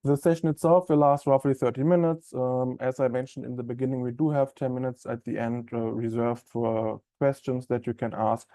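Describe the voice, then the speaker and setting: A man from Germany with a German accent presenting a live software webinar in a friendly, conversational way with many ums and uhs. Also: medium pitch, level tone, normal speed, hardly any pauses, no echo.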